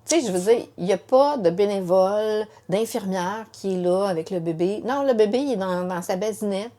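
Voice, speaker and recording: A middle-aged woman with a Quebec French accent telling her story as a mother, halting and repeating words with many fillers. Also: mid-range voice, warm tone. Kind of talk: emotional personal testimony in conversation.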